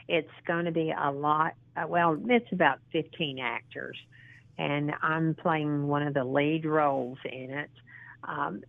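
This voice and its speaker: A woman in her sixties with a low voice talks slowly over a tinny phone line. She is earnest and encouraging. She often stops with ums and starts her sentences over. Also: no room sound. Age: 60s. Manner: halting, with frequent ums and restarts. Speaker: interview guest, model and actress. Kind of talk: casual phone interview.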